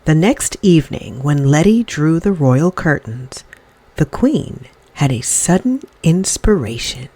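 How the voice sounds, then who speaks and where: Low-pitched, very expressive woman storyteller. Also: breathy edge. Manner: dramatic, deliberate phrasing. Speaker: storyteller reading a picture book aloud. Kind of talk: expressive children's read-aloud narration.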